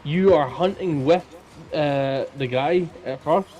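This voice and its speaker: Higher-voiced Scottish man rambling casually. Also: slow, darkish tone, low-quality recording. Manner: informal, rambling. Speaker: podcast co-host. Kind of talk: casual chat, storytelling.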